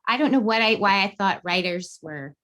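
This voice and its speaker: A woman's voice: medium pitch, expressive, normal speed, a bit bright. A woman chatting casually as a podcast guest, candid, informal and enthusiastic. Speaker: podcast guest, beginning writer. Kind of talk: casual chat.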